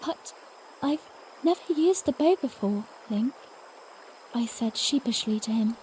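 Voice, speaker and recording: A woman playing a spirited, tender young princess who recounts her adventure in expressive storybook phrasing for an audiodrama. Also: fairly high pitch, unhurried, a little hiss or hum, low-quality recording. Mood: sheepish. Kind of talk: first-person audiodrama narration with acted dialogue.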